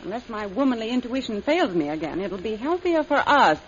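Sardonic slangy adventuress in old radio serial, lively pitch, muffled sound w/ a bit of hiss. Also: female voice, fairly quick. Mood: wary. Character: wisecracking, wary female adventuress and secret agent. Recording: muffled old radio recording, faint noise.

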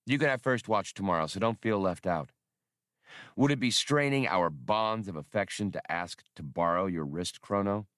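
A man voicing a confident, bossy young smuggler captain in a space adventure audiobook, with a brisk, commanding manner. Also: low-pitched, lively intonation, a bit bright, no room sound, studio quality. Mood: dry, sarcastic.

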